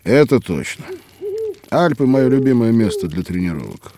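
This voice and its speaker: An actor playing a hearty, hospitable mountaineer in an audio drama for children, speaking expressively. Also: male voice, mid-range voice, sing-song, moderate pace, mellow, dry recording. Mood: earnest, animated. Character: hearty hospitable mountaineer by a campfire below Everest. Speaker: mountaineer character.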